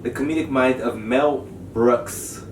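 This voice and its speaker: A man's voice: mid-range voice, steady tone, measured pace. A man co-hosting a podcast in casual chat, rambling and joking and laughing easily. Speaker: podcast co-host. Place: home studio room. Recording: echoey room, mic far off, background noise.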